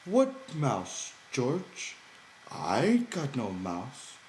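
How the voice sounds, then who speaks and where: Simple-minded gentle giant: pitched up high, naive, rustic, hopeful, in an echoey room for an audiobook. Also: male voice, wildly swooping pitch, exaggerated voice. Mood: feigned innocence. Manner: naive, slow, rustic dialect. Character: childlike, simple, hopeful big man, eager to please. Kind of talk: character voice in audiobook reading. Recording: roomy echo, background noise.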